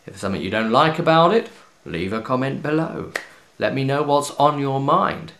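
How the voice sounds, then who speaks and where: A man with a medium-pitched voice talks casually to viewers as a video host. He is enthusiastic and chatty, leaning on key words as he walks through a product review. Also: normal speed, fairly bright tone, a little hiss or hum. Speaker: host of a tabletop gaming video series.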